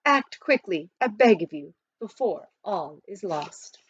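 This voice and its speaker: A woman's voice: medium pitch, animated, takes it slowly, breathy edge, highs cut off. A woman voicing an ailing male doctor in a formal, earnest letter within a storybook. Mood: pleading.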